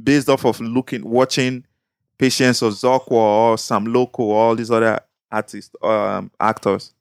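A man's voice: medium pitch, flat delivery, clean tone, no echo. Nigerian man arguing his point, dry sound. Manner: measured, explanatory, argues his point. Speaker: podcast co-host. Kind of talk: casual podcast discussion.

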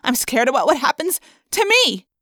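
A woman's voice: high voice, brisk, no echo, clean studio sound, voice pushed up, natural voice. A woman voicing a fiery, sarcastic teenage girl under strain, emphatic and confrontational, in an audiobook. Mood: distraught, scared.

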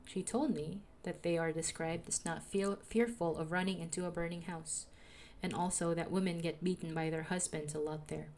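Soothing, lower-voiced young woman. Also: normal speed, slight background noise. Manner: calm, reflective, confessional. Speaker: podcaster. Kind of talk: personal diary narration.

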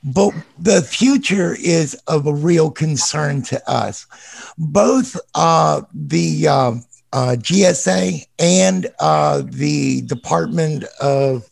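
A man calling in as an organization president, speaking slowly and carefully with many hesitations. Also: on the high side, gravelly, no room sound. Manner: slow and measured, hesitant with many uhs. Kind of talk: call-in question.

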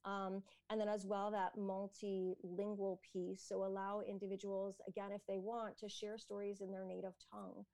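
A woman's voice: mid-range voice, little pitch movement, moderate pace, gravelly, few pauses, dry recording. A Canadian woman, an entrepreneur and educator, talking thoughtfully in an interview with many "um"s and "you know"s. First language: English. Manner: thoughtful, many fillers, soft laughs. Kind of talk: conversational interview answer.

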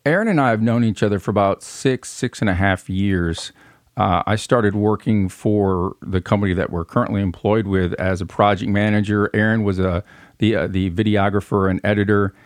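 A middle-aged man from Michigan answering casually as a podcast guest, in a halting, rambling way. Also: lowish voice, steady tone, no room sound, studio quality. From Michigan, United States.

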